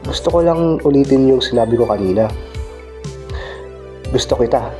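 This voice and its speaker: A young man acting as an earnest, lovestruck high school boy in an amateur radio drama. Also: lively intonation, moderate pace, mellow, soft background music, close to an everyday voice. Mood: tender.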